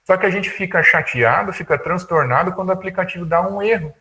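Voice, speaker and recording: A man of about thirty gives an informal talk, colloquial and halting, often repeating words as he thinks. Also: sits high, pushed and bright. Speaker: guest speaker, tech entrepreneur. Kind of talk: informal talk at an online conference.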